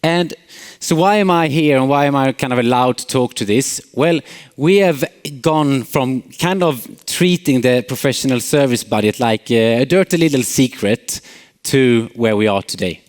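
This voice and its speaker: A man's voice: medium pitch, normal speed, big-room sound. A man from Sweden with a Swedish accent, giving a friendly conference keynote as a company CEO, with many filler uhs and light jokes. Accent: Swedish, Småland. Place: large conference hall.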